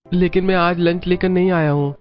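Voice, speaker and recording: A man voicing a gentle, resigned young husband who works in an office, soft-spoken and placating. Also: sits high, fairly even intonation, fairly quick, natural voice. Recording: dull lo-fi with faint music.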